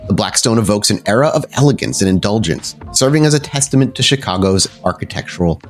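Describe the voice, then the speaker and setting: A man's voice: lowish voice, expressive, quick pace, faint music behind. A man narrating a tabletop roleplaying game descriptively as game master.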